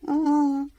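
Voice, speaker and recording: A woman's voice: sits high, a little mellow, exaggerated voice. A woman playing a drug-addled, defiant young daughter in an Ilocano-accented audio drama, slurred and drawling.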